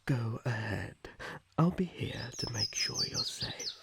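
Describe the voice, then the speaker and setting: A man playing a gentle, protective young elf prince in a fantasy ASMR roleplay, quiet, polite and reassuring. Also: mid-range voice, animated, takes it slowly, vocal fry.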